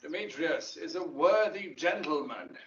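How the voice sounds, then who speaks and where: A stern, measured duke in a Shakespearean audio play. The voice sits high for a male and swings widely in pitch as it declaims formally and slowly. The recording is dull and set back from the mic. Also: lively intonation, a bit bright, slight background noise. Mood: grave, admonishing. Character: stern, measured ancient duke counselling a defiant young woman. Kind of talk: classical Shakespearean audio drama acting. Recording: distant, dull, echoey drama recording.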